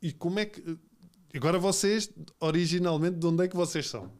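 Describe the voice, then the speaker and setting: A man's voice: high-pitched, brisk, a little mellow. A man in his forties with a Lisbon-area Portuguese accent, hosting a casual, jokey interview with informal interjections. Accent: European Portuguese, Lisbon area. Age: 40s. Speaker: podcast host, interviewer.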